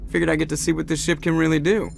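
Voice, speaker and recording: A man's voice: fairly high pitch, little pitch movement, rapid-fire, vocal fry, a little hiss or hum. An actor voicing a cocky, wisecracking male starship pilot in a video game, wry and sardonic. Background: game music.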